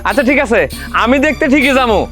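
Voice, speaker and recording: A man's voice: extremely high pitch, quick pace, sharp tone, faint music behind. An actor playing a doubtful young village husband who scolds his wife. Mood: stern. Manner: scolding, stern. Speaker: husband, son.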